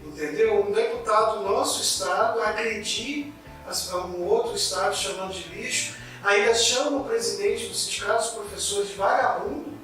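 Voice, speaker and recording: Brief: high-voiced, bright, indignant man from Goiás; political co-host; distant mic in an echoey room. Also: soft background music. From Goiás, Brazil. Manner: indignant, halting with many restarts. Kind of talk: casual political discussion.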